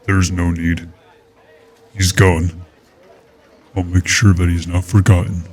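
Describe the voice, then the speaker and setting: A man's voice: sits low, little pitch movement, takes it slowly, darkish tone, distant mic. A man playing a grave, terse alien warrior in an audio drama, imposing, stern and loyal. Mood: grieving.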